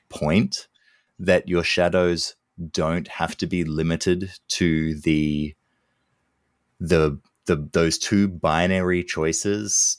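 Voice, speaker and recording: An Australian man, a children's author, answers questions in a low voice. He speaks slowly and without hurry, dropping in the odd filler. The sound is dry and close. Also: clean tone, dry recording. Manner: relaxed and unhurried, with fillers. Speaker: children's book author, guest. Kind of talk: interview answers.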